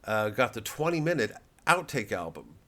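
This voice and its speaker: A man's voice: on the low side, sing-song, normal speed, sharp tone. A man, a comedian, talking casually to camera in a rambling way, with stammering restarts and free swearing.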